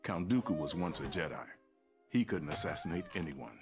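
Firm, dutiful protector. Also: male voice, extremely low pitch, animated, normal speed, over music. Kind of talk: video game cutscene acting.

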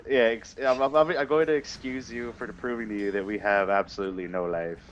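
A man's voice: fairly low pitch, steady tone, fairly quick. A teenage man bantering casually and teasingly over a game, swearing a lot, as co-host of a gaming channel. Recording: dull, faintly noisy home mic.

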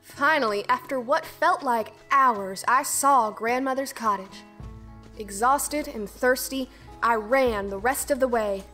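An earnest, dutiful young girl acted by a woman with a fairly high voice. She tells her own storybook tale in formal first-person narration, with soft music underneath. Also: measured pace. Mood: earnest, reflective.

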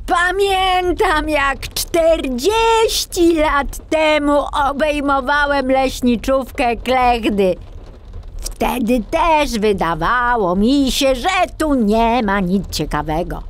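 A woman playing a jovial, teasing old male forester in a children's storybook, with a hearty, drawn-out delivery. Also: high voice, lively intonation, pitched above the performer's own voice. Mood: nostalgic. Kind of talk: children's storybook character acting.

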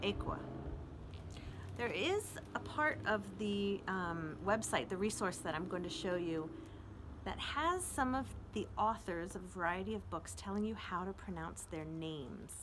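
Middle-aged lady reading poems out loud on a porch, takes her time with pauses, some street noise behind. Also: medium pitch. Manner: unhurried, expressive reading with deliberate pauses. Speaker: children's librarian reading to an online audience. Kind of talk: poetry read-aloud with casual asides. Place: outdoors on a house front porch. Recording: outdoor traffic noise, street ambience. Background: street traffic.

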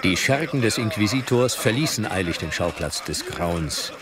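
A man's voice: fairly low pitch, animated, moderate pace, a crowd in the background. A man narrating a horror audio drama from a script in a dramatic storytelling style. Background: loud music.

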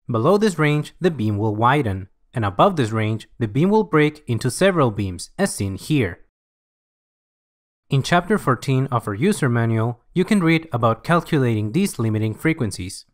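A man reading scripted software tutorial narration in an instructional, measured way. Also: mid-range voice, big pitch swings.

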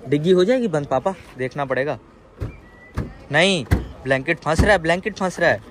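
A man's voice: on the high side, fairly quick. Young guy with a scratchy throat vlogging, camera held out, traffic and engine noise all around. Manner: excited, calls out loudly when flustered. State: sore throat. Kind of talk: casual travel vlog chat. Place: outdoors in a yard, then inside a car. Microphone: handheld camera at arm's length. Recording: noisy street and car background.

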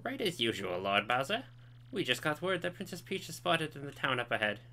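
Man putting on a shrill fawning witch henchwoman, cackles, flatters her boss. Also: a little fast, a little hiss or hum.

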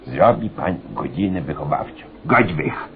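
An actor playing a blustery, greedy school inspector and old-soldier braggart in a satirical radio comedy, stammering and repeating himself. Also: male voice, a bass voice, lively intonation, a little slow, a little hiss or hum. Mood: scheming, excitable. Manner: stammering, repetitive, erratic, exclaiming. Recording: dull narrow-band radio recording, audience behind.

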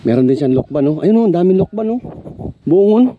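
A man vlogging as he walks through an overgrown yard, chatting to viewers as he guides them along, sometimes going quiet and hushed. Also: sits high, expressive, a little fast, no echo, phone-quality sound. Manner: chatty, guiding viewers, hushed when uneasy. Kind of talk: casual tour narration. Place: overgrown rural yard outdoors.

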